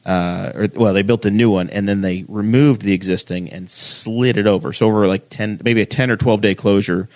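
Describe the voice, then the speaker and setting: A man's voice: lowish voice, fairly even intonation, normal speed, hardly any pauses, a little mellow. A man from Indiana who hosts a casual podcast, joking and teasing as he rambles through ad reads and stories. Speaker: podcast host.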